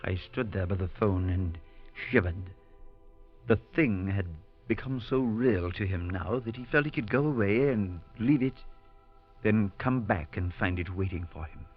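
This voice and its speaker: An actor playing a skeptical, level-headed priest, who also narrates in a measured way, in 1940s radio acting on a dull old broadcast. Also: male voice, on the low side, soft background music, lightly acted. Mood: solemn narration. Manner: reassuring, doubting, measured narration.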